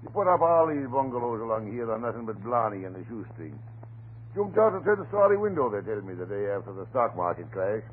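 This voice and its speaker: A man's voice: lowish voice, animated, fairly quick, lightly acted. Folksy old-time radio cop, acted by a man, tinny and noisy. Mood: chatty, folksy. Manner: blustering, garrulous, folksy turns of phrase. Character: folksy, chatty, stubborn off-duty police desk sergeant neighbor. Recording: noisy, narrow-band vintage radio recording.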